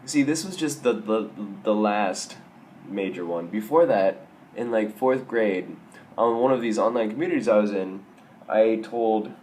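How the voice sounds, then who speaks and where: A young man with a raspy, hoarse voice from a sore throat, telling stories in a casual, self-mocking vlog. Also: lowish voice, unhurried, a little mellow, a little hiss or hum. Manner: self-mocking, wry, rambling with restarts. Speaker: vlogger.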